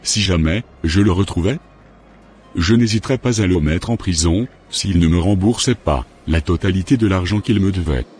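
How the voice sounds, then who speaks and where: A man playing a stern, vengeful cheated boss in an amateur cartoon, speaking deliberately and threateningly over music. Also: deep voice, darkish tone, slightly rough. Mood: resentful. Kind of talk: amateur animated drama acting. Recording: background music.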